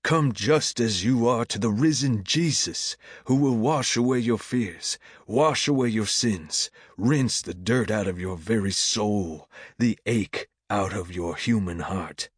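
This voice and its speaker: A fervent revival preacher, acted out by a male audiobook narrator, commanding the room. He declaims old-time sermon lines in drawn-out phrases, with a dry, close sound. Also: on the low side, breathy edge, no echo. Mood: urgent, pleading.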